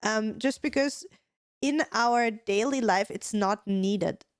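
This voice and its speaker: Woman, Austrian accent, native German speaker, podcast co-host, rambling casual chat, fairly high pitch, dry close sound. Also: takes it slowly, no room sound. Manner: rambling, filler-heavy, frequent restarts.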